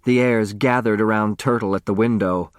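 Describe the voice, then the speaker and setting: A man's voice: fairly low pitch, steady tone, normal speed, clean tone, no room sound, studio quality. A man narrating a story from a script, in the dramatic style of an audiobook.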